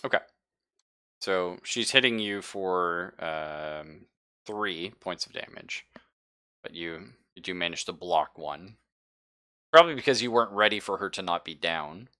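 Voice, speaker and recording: A man's voice: low-pitched, unhurried, sharp tone, clear, resonant tone. A man acting as game master, casually explaining rules and narrating the action in an informal, jokey way. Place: online game session over voice chat.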